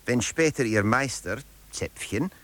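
A man's voice: medium pitch, expressive, measured pace, a bit bright. An actor playing a management theorist who narrates his principle in a formal, didactic radio lecture.

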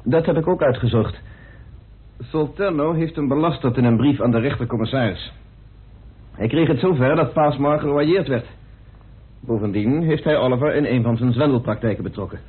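An actor playing a measured, probing man in a radio play, explaining things firmly and deliberately. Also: mid-range voice, breathy edge. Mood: matter-of-fact. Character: measured, probing man laying out evidence, firm and principled. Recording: muffled, narrow old radio recording.

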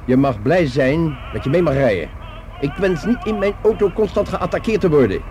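A man playing middle-aged airline captain, terse, formal, aloof, fairly high male voice, soft-edged tone, radio drama. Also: expressive, moderate pace, natural voice. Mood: irritated, firm. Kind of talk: naturalistic radio play acting.

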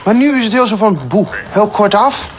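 A woman's voice: medium pitch, moderate pace, lightly acted. Woman playing a rambling farmer among noisy animals. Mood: earnest, puzzled. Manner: rambling, matter-of-fact, imitates cow sounds. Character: plain-spoken farmer's wife worried about her cows. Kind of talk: mock phone-in comedy sketch. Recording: noisy farm ambience with animals.

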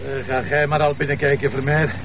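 An actor playing a wry, impatient police commissioner with a Flemish accent and a sardonic, brusque manner. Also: male voice, a little high, flat delivery, brisk, a bit bright, slight background noise. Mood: dry, impatient. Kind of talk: naturalistic television crime drama acting.

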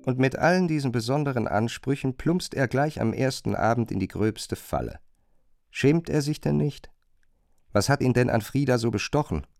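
A man narrating a literary radio drama in a measured, pointed, questioning manner. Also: medium pitch, lively intonation, gravelly, a little mellow, no room sound, studio quality, soft background music.

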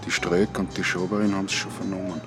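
An actor playing a laconic, casual co-worker with a secret in a radio drama, speaking slangy Austrian-accented dialogue. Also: male voice, low-pitched, little pitch movement, brisk, over music, close to an everyday voice. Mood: speculating. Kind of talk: naturalistic radio drama acting.

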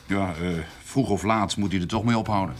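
A man acting a village notary in a radio drama. He is self-assured and measured, with a fairly low voice. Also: fairly even intonation, soft background music, lightly acted. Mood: assured, matter-of-fact. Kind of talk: Dutch radio play acting.

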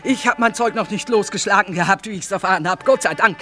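An actress playing a shrewd, hard-bitten middle-aged trader woman in a radio play, earthy and brisk. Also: medium pitch, fairly bright tone, soft background music, close to an everyday voice. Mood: urgent. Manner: brisk, earthy, colloquial talk. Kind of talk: German radio play acting.

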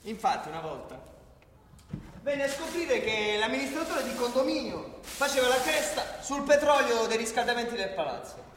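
A man's voice: very high-pitched, big pitch swings, moderate pace. A man performing an indignant satirical narrator in a radio play, declamatory and sardonic, drawing out his lists with emphatic bursts. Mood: sardonic storytelling. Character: male satirical narrator, indignant at corrupt politics. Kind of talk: theatrical storytelling monologue for radio theatre. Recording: echoey hall, faint noise.

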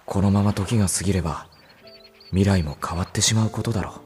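A woman's voice: extremely low pitch, fast talker, darkish tone, faint music behind. A woman playing an earnest, caring young man with casual boyish speech in a Japanese audio drama. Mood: dejected, reflective. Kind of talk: Japanese visual novel audio drama acting.

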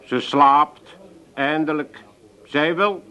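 A tired, grumbling husband in a comedy radio play. He talks slowly in a somewhat high voice, exasperated and put-upon. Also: low-quality recording. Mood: weary. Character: weary, grumbling, henpecked husband.

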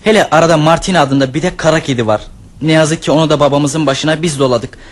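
An actor playing a hesitant teenage son in a radio play, stammering with fillers. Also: male voice, a little high, fast talker, natural voice. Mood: eager.

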